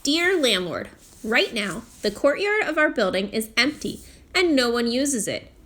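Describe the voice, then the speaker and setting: A woman's voice: on the high side, big pitch swings, measured pace, a bit bright. A woman voicing an earnest, pleading, determined young girl in a children's storybook. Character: eager, determined young girl with big ideas. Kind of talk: children's storybook character reading.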